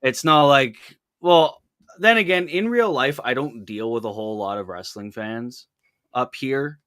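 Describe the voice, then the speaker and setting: Early-twenties man from Canada, relaxed casual co-host, mid-range voice, dry recording. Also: mid-range voice, measured pace, no room sound. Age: young adult. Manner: laid-back, rambling, casual swearing. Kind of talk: casual wrestling chat.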